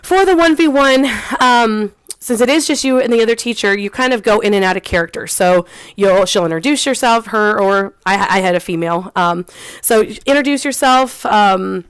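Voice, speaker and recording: A woman's voice: mid-range voice, moderate pace, clear, resonant tone, no echo. A young woman, an online English teacher, chatting casually in a vlog, full of ums and restarts and light laughs. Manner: laughs lightly between phrases.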